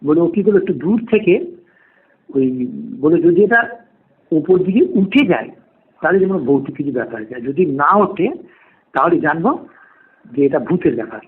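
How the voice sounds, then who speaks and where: Husky high-voiced older man telling stories. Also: animated, moderate pace, long pauses. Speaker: podcast guest, private tutor. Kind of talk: first-person oral ghost storytelling. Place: remote call to a podcast. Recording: dull, lo-fi phone call recording.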